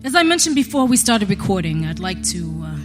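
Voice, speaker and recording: A woman playing a brisk, no-nonsense 1970s special agent in an audio drama, businesslike, curt and formal. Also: mid-range voice, big pitch swings, over music, natural voice. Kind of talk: acted witness interview in audio drama.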